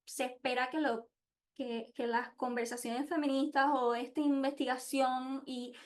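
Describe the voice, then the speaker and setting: A woman's voice: high-pitched, little pitch movement, unhurried. A woman gives an informal, reflective presentation, speaking candidly and often searching for words. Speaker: podcast host and international relations researcher.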